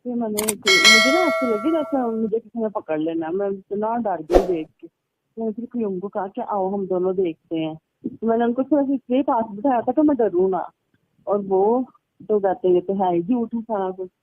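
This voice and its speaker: A woman's voice: mid-range voice, moderate pace. A woman chatting casually over the telephone, telling a personal story in a rambling, repetitive way. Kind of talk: casual phone chat, recounting anecdotes. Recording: muffled, band-limited phone call recording.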